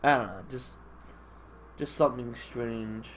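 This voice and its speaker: A man's voice: mid-range voice, measured pace. Stuffy-nosed man doing casual gameplay commentary. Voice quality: nasal, stuffy nose. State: congested nose. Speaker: gaming video host. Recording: clear background noise on mic.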